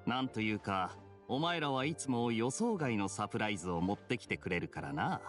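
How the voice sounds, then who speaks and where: Suave, teasing young knight. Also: male voice, on the low side, big pitch swings, moderate pace, faint music behind. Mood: wry. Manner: laid-back, wry, smooth-talking. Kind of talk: Japanese anime game acting.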